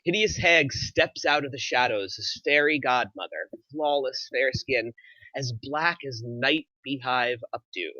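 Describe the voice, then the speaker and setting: Bright, forceful male screenplay narrator. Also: mid-range voice, measured pace, no echo. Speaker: narrator reading stage directions. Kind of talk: scripted narration at a table read. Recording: slightly dull, dry recording.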